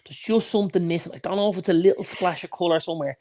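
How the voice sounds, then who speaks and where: Male podcast co-host, higher pitch, enthusiastic, emphatic casual delivery. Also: fairly even intonation, rapid-fire, a little mellow. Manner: enthusiastic, emphatic, repeats phrases for stress. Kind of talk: casual chat.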